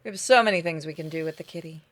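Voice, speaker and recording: A woman's voice: low voice, rapid-fire. A woman doing a game playthrough, switching between theatrical reading of story text and casual commentary to viewers. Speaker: gaming streamer reading game text aloud. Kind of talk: game playthrough, dramatic narration mixed with casual chat. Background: game music.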